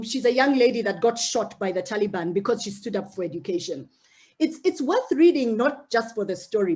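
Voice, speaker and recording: A middle-aged woman from Uganda giving an earnest, direct talk to young women she mentors, often repeating words as she goes. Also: mid-range voice. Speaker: mentor speaking to a mentee cohort. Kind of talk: motivational talk.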